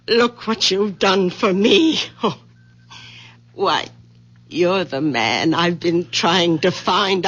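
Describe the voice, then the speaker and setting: Frail old aunt in a radio drama, husky and slow, hesitant, dull old recording. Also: female voice, mid-range voice, a bit bright. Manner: hesitant, slow, breaks phrases off. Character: frail, self-conscious old village aunt, awed and insistent.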